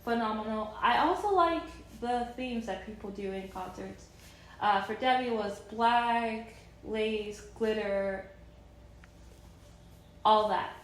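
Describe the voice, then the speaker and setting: A woman's voice: fairly high pitch, takes it slowly, roomy sound, a little hiss or hum, low-quality recording. A woman in her thirties chatting casually and enthusiastically in a vlog, rambling with many fillers and restarts. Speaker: vlogger.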